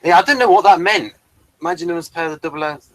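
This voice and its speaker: A man's voice: fairly high pitch, racing, dry recording. A man hosting a casual, conversational review discussion. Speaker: podcast host.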